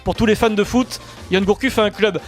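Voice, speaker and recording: A man with a notably high voice hosts a radio quiz in a studio, teasing the players and firing off questions briskly. A faint music bed plays behind him. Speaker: quiz master on a sports radio show. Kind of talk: lively radio quiz game.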